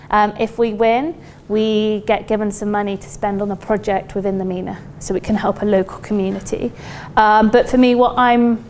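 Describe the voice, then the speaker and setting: A woman's voice: on the high side, a little mellow, smooth. A woman with a British accent giving an informal, chatty workshop presentation to an audience as a company recruiter. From United Kingdom. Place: lecture room at a university.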